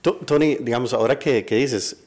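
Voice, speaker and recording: A man's voice: medium pitch, brisk, darkish tone. A man co-hosting a podcast, asking questions in a chatty way that often restarts and rephrases. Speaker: podcast co-host. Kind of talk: casual interview hosting.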